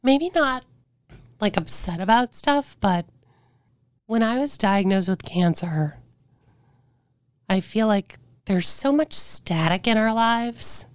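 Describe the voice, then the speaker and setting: A woman's voice: mid-range voice, takes it slowly, pauses often, clear, resonant tone, no room sound. A woman guest on a podcast talking candidly and earnestly about her life, her weakened voice sometimes slipping into a whisper. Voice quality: weakened voice that cannot get loud. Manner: earnest, reflective, with many "like" fillers. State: voice drops to a whisper at times. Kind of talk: candid personal storytelling.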